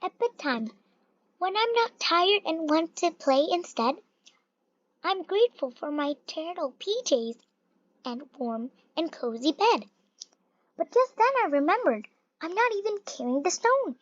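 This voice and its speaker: Slow, high, childlike storybook reader. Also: dry recording. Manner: slow, deliberate, expressive storytelling. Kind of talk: read-aloud of a rhyming children's picture book. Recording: dull lo-fi recording, little room sound.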